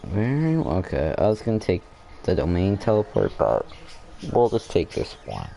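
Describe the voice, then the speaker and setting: A man giving casual let's play commentary, offhand and halting as he thinks aloud while gaming. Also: sits low, huge pitch swings, creaky, warm tone. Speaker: gaming video host.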